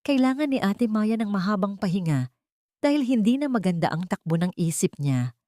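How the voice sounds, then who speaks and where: A woman's voice: mid-range voice, big pitch swings, normal speed, dark tone, no echo, studio quality, voice pushed up, lightly acted. A woman voicing a domineering, scornful usurper giving orders in an audio story. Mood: imperious. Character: domineering, scornful young usurper taking charge.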